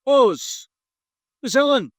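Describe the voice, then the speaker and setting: An actor playing a brisk, skeptical man in a 1950s radio drama. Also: very high voice, big pitch swings, dry recording, clean studio sound, heavily acted. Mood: urgent.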